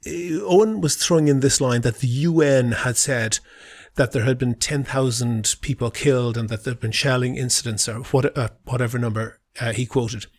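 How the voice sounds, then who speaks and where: A man explaining politics as a guest on an interview podcast, in an assertive, analytical way. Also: medium pitch.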